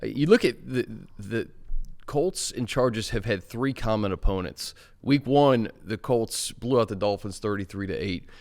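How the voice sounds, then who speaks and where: A man's voice: lowish voice, animated, moderate pace, darkish tone. A man hosting a casual sports talk show, chatting conversationally and steering the talk to the next segment. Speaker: show host.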